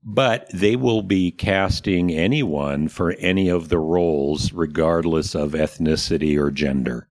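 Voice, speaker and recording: Low-voiced American man co-hosting a podcast. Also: unhurried, hardly any pauses, darkish tone. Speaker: podcast co-host. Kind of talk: casual chat about local theater.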